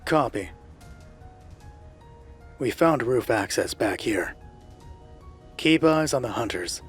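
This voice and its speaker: A man voicing a terse military squad member in a tabletop roleplay, giving clipped, measured orders. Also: mid-range voice, animated, a bit bright, breathy edge, soft background music, voice pushed down, heavily acted. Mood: terse, controlled.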